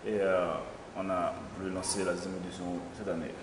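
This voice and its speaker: A young man with a lowish voice answers questions as an event organizer, halting often on fillers. He is recorded in an echoey studio with a long reverb tail and a faint hiss. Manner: hesitant, many fillers, lively bursts. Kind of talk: interview answers. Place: small studio with logo backdrop.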